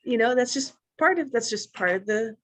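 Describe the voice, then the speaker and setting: A woman's voice: a little high, lively intonation, normal speed. A woman who acts on stage, talking casually and reflectively in a panel conversation, sharing her experiences.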